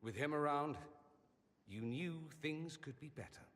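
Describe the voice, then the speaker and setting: An actor playing a vain, witty mage in a fantasy video game, with an arch, sardonic and theatrical delivery. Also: male voice, on the high side, measured pace, fairly bright tone, big-room sound. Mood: wry, fond. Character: witty, vain mage from a fantasy empire, wryly self-aware. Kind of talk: dramatic video game dialogue.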